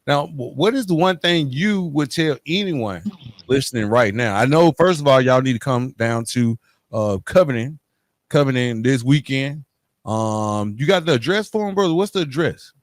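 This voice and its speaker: Man, podcast host, mid-range pitch, halting delivery, searching for words, dry recording. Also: animated, no room sound. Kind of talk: hosting an interview podcast, wrapping up with calls to action.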